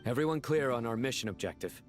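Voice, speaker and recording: A man's voice: medium pitch, animated, fast, close to an everyday voice. A man playing a stern, militant enforcer of justice, terse and commanding, in a video game. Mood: drilling, serious. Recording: loud game music behind.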